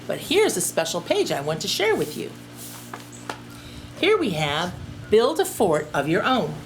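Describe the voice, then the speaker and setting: Woman teacher, children's read-aloud; highly animated intonation, unhurried, addresses kids directly, faint background noise. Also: rough recording. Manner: expressive, addresses young listeners directly. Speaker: teacher reading to pupils.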